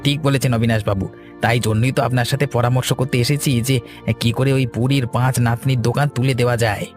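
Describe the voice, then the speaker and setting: A man's voice: medium pitch, steady tone, fast talker, over music, lightly acted. A man playing a sly, argumentative village troublemaker in a cartoon, insistent in his manner. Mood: sly, obliging. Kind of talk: Bengali cartoon story acting.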